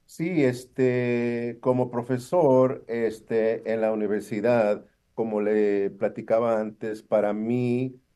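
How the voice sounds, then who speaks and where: A man answers as a guest academic. His voice is mellow and of medium pitch. He hesitates often, leans on fillers and slips into English now and then. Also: steady tone, takes it slowly, hardly any pauses, clear, resonant tone. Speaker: university professor, interview guest. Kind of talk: conversational interview answers.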